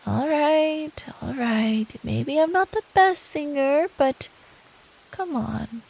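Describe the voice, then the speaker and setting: A woman playing a sweet, caring, teasing girlfriend in an intimate ASMR roleplay, talking softly and breathily. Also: sits high, full character voice. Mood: mock offended. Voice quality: soft, breathy. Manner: slow, affectionate, playfully teasing. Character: sweet, teasing, caring girlfriend telling an improvised bedtime story.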